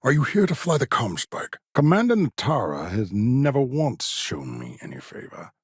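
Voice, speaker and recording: A man's voice: mid-range voice, huge pitch swings, moderate pace, breathy edge, no room sound, clean studio sound, heavily acted. An actor playing an eccentric, condescending but eager male scientist in a space video game, speaking in a fussy, wry, pedantic way. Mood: hesitant, puzzled. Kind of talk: video game character dialogue.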